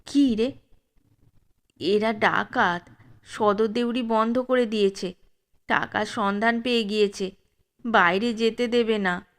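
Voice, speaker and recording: A woman's voice: fairly high pitch, takes it slowly, long pauses, pitched below the performer's own voice. A woman voicing a frightened young male servant who whispers a warning. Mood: scared. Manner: whispering urgently.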